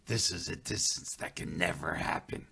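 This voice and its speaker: A man voicing a calm, stern male forest guide spirit in a storytelling piece, speaking slowly and solemnly in a hushed, whispery voice. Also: sits low, vocal fry, slightly rough, heavily acted. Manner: slow, solemn, measured.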